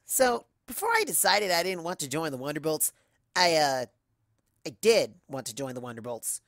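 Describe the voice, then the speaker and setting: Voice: mid-range voice, very animated, normal speed, fairly bright tone, no echo, studio quality. A storyteller voicing a brash, boastful young female cartoon pony, cocky, casual and emphatic.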